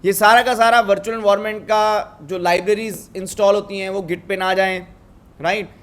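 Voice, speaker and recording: A man's voice: sits high, steady tone, moderate pace, a bit bright. A man with an Indian accent teaching a step-by-step coding lesson, switching between two languages and often checking that learners follow. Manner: switches between Hindi and English; instructive, often checks understanding with tag questions. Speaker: programming instructor.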